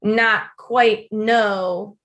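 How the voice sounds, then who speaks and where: A woman co-hosting a podcast in casual, animated conversation about faith. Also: mid-range voice, extremely slow. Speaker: podcast co-host.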